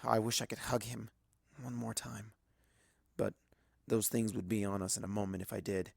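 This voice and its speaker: A man's voice: lowish voice, little pitch movement, normal speed, creaky, slightly rough, no room sound, clean studio sound. A man reading a story aloud as a dramatic first-person narration.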